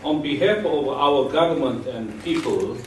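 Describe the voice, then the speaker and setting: A man delivers a formal speech as an envoy, pausing on "uh" between phrases. He speaks slowly and sounds distant. Heavy reverb surrounds his voice. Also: mid-range voice, lively intonation, a little hiss or hum. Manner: slow and formal, with frequent "uh". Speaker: government envoy. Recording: echoey hall recorded from far off.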